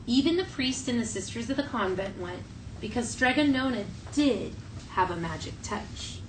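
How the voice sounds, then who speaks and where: A woman teacher reading a storybook aloud to her class and prompting the children in clear, instructional phrases. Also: fairly high pitch, lively intonation, moderate pace. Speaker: elementary school teacher. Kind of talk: classroom read-aloud and questioning. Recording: distant mic, faint classroom noise.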